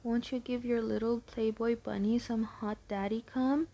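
A young woman performing an intimate audio roleplay, her voice breathy and often dropping to a whisper, soft, teasing and pleading. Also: fairly high pitch, steady tone, normal speed.

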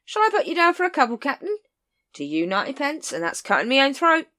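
A woman's voice: high-pitched, huge pitch swings, fast, sharp tone, voice pushed up, exaggerated voice. A woman voicing a whining, wheedling street sausage vendor with pushy salesman patter.

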